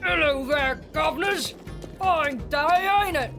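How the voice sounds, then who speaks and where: Cheeky young street kid played by a woman, high voice, slow folksy bluffer, music underneath. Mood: breezy, cheeky. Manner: folksy, bluffing, stammering when pressed. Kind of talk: audio drama acting.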